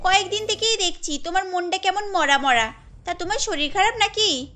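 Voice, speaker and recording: A sweet, chatty young city wife from a cartoon , put on by a woman. The voice is extremely high, bright and girlish, with expressive delivery. Music sits underneath. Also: moderate pace. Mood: concerned. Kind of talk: cartoon story dialogue.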